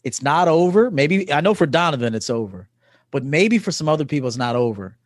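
A man's voice: a little high, lively intonation, brisk, no echo. A man in his early forties talking casually and emphatically as a guest coach in an interview. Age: early 40s. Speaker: podcast guest, men's coach. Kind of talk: casual conversational interview talk.

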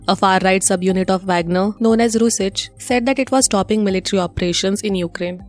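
A woman's voice: mid-range voice, a little mellow. A woman with an Indian accent reading scripted news bulletins as a radio presenter.

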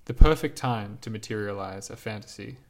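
A man reading his own reflective essay aloud in a measured, lyrical, unhurried way. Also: lowish voice, darkish tone.

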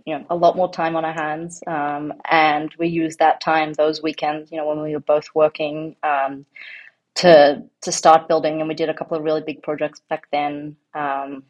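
A woman's voice: low-pitched, level tone, moderate pace, lo-fi sound. An Australian woman in her thirties, talking casually as an interview guest, rambling with many ums and restarts. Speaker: guest, home farmer.

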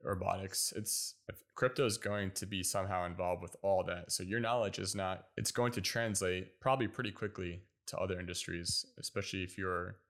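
A man's voice: low-pitched, steady tone, a little fast, few pauses, a bit bright. A man from the United States hosting a casual interview, asking questions and encouraging his guest in a friendly way. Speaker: interviewer, host.

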